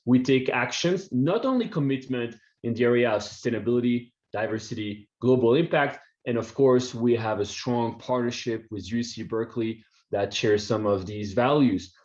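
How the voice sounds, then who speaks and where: A man's voice: medium pitch, moderate pace, few pauses, a little mellow. A man from Belgium, a bank program manager hosting a webinar over a video call, upbeat and full of fillers. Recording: muffled video call audio.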